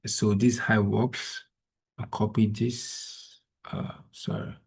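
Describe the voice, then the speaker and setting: A man's voice: fairly low pitch, very animated, slow, gravelly, husky. A man whose first language is Igbo, from Nigeria, patiently walking through an online tutorial step by step over a video call. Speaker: trainer. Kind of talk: screen-share tutorial.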